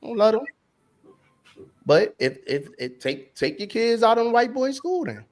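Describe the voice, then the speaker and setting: A man's voice: high voice, sing-song, moderate pace, a little hiss or hum. A man from New York, a podcast co-host, talking bluntly in casual debate, full of slang and repeating himself.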